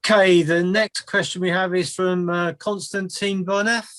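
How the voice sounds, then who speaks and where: A man hosts an online Q&A in a somewhat high voice, recorded close and dry with no room sound. He moves things along briskly, with frequent um and uh. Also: steady tone, a bit bright, no room sound. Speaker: webinar host and moderator. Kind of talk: moderating an online Q&A. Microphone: video call.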